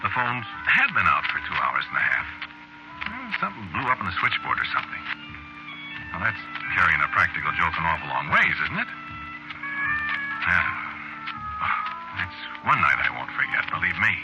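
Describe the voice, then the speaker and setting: Deep-voiced, rattled man in radio drama. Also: wildly swooping pitch, muffled recording, loud music behind the voice. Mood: nervous, brooding. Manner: agitated, halting, conversational. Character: rattled, jittery radio announcer recounting his nightmarish night. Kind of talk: old-time radio drama acting, first-person narration. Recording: vintage radio drama recording.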